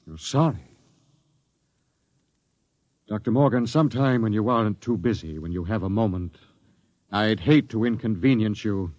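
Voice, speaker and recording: A man's voice: lowish voice, expressive, no room sound, natural voice. A man playing a grim doomed space captain. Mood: bitter. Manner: grave, measured narration turning sharp and bitter. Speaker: expedition captain narrating. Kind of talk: 1950s radio drama acting. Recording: muffled old radio broadcast with music.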